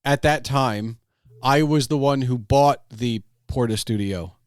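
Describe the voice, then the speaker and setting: A man chatting casually as a podcast host, telling anecdotes in an animated way and acting out imagined quotes. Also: mid-range voice, unhurried, no echo, studio quality.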